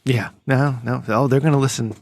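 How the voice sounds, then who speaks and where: A man's voice: mid-range voice, fairly even intonation, a little fast, no echo, clean studio sound. A man hosting a casual group podcast, joking and swearing freely. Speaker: podcast host. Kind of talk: casual chat.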